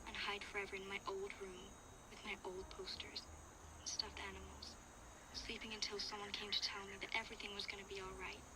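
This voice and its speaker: A woman's voice: mid-range voice, level tone, brisk, very noisy, close to an everyday voice. Woman as earnest young girl survivor, evenly spoken. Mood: frightened, confessional. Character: young girl survivor recounting danger, frightened but reflective. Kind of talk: first-person dramatic audio log narration.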